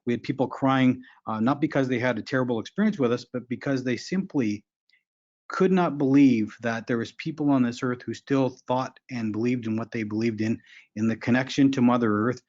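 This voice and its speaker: A man in his forties talks earnestly at an online conference panel. He speaks frankly and stops often to restart his thoughts. His voice sits at an ordinary pitch, and the remote audio sounds dull and lo-fi. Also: brisk, a little mellow. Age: 40s.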